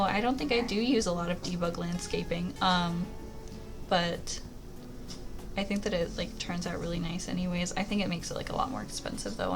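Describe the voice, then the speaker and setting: Hoarse young woman chatting over music. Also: fairly low pitch. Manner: chatty, rambling, lots of "like" and "um". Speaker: Sims speed-build YouTuber. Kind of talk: casual voice-over commentary. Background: background music.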